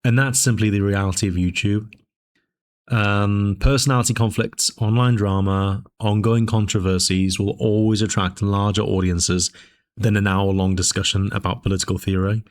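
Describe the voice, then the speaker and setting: A man with a fairly low voice talks through his arguments in a measured, discursive way. He often pauses on fillers and restarts his phrases. His voice sounds a little dry as he speaks to his channel's viewers. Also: steady tone. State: dry voice, sipping tea. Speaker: political commentary channel host. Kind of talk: casual spoken commentary.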